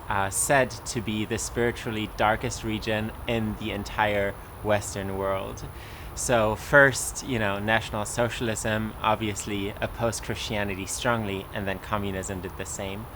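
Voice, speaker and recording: A man's voice: on the low side, noisy background. A young man telling his personal testimony in a casual way, with many fillers and restarts. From Germany.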